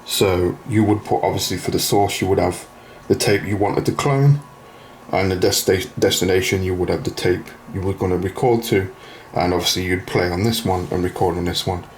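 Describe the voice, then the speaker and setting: A man's voice: deep voice, steady tone, normal speed, a little hiss or hum, poor audio. A man hosting an informal hands-on tutorial, explaining things plainly, step by step. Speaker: video host demonstrating a retro computer repair.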